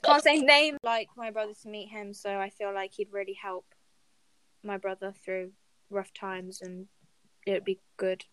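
A woman's voice: medium pitch, steady tone, normal speed, fairly bright tone, clear, resonant tone, no echo, low-quality recording. A young woman co-hosting a podcast, talking informally and animatedly with her friends. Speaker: podcast co-host. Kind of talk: casual chat among friends.

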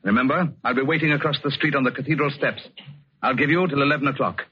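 An actor playing a cold, controlling villain, clipped and commanding, in 1940s radio suspense style. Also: male voice, mid-range voice, quick pace, breathy edge. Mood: cold menace. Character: cold, controlling male villain coercing his accomplice. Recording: dull, faintly noisy old radio recording.

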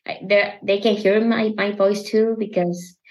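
A woman's voice: mid-range voice, fairly even intonation, a little mellow, poor audio. Hesitant woman with a Mexican accent. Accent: Mexican Spanish. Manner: halting, many restarts and repeated words. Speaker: podcast guest. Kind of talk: casual interview chat.

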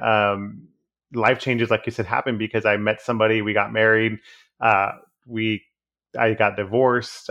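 A man, a hospice nurse chatting as a podcast guest in an easygoing, halting way with lots of fillers. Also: fairly low pitch, fairly bright tone, dull audio. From Texas. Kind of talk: casual podcast interview.

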